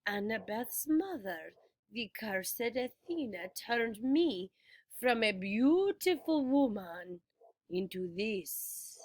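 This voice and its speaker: A woman voicing a soothing, menacing old female monster who speaks slowly and softly. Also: a little high, expressive, dry recording, heavily acted. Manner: slow soft purring voice.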